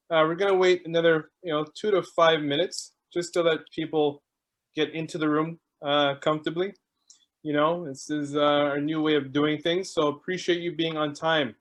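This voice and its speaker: A man hosting an online video call, chatting casually and greeting people one by one while he jokes about stalling. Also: fairly high pitch. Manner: chatty, joking, warm greetings to each arrival. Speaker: host.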